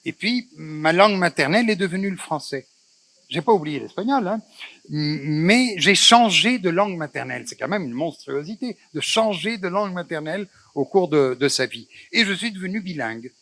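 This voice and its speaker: A man born in Morocco, with Spanish as his first language, moderates a festival panel in a measured, reflective way with frequent pauses. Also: high-pitched, animated, bright, forceful tone, no room sound.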